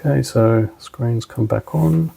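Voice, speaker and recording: A man's voice: mid-range voice, a little slow, vocal fry, dark tone, breathy edge. A man presenting a hands-on product tutorial, talking informally and instructing step by step as a trainer. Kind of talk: casual hands-on tutorial narration.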